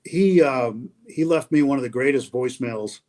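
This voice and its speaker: A man's voice: medium pitch, moderate pace. A man who calls games on the radio, telling stories about colleagues in a casual, reminiscing way over a video call. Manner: anecdotal, warm reminiscing with restarts. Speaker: guest, radio play-by-play announcer. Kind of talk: casual storytelling.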